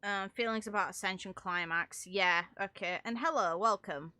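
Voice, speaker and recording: A woman streams her reactions to a game in a casual, animated way. Her voice is bright and forceful at an average pitch, recorded close and very dry. She talks informally and swears freely. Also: normal speed, no room sound. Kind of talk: casual, unscripted gameplay reaction commentary.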